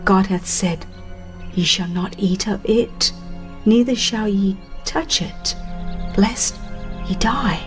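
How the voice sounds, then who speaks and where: An innocent, trusting woman acted by a female narrator recites earnestly and slowly. It is a dramatized religious audiobook, with soft music underneath. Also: mid-range voice, close to an everyday voice.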